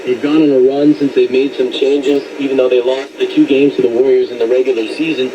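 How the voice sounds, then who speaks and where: Male basketball pundit, medium-pitched, fluent and explanatory, coming through noisy shortwave radio. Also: level tone, a little fast, lo-fi sound. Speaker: sports pundit.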